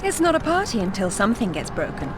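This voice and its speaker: An actress playing a cool, daring adventuress in a video game, with a British accent and a dry, poised wit. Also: female voice, mid-range voice, wildly swooping pitch, fast, very noisy. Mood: wry, composed. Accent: British English. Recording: loud music over the voice.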